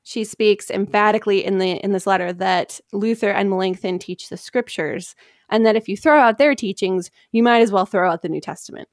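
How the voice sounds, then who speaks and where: A woman's voice: medium pitch, brisk, dry recording, clean studio sound. A woman hosting a podcast, telling history from prepared notes in an informal, explanatory way with casual asides.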